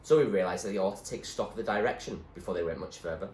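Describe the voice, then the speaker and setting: A man giving an expressive narration of a storybook, like a teacher reading aloud. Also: sits low, quick pace. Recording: echoey room, faint background noise.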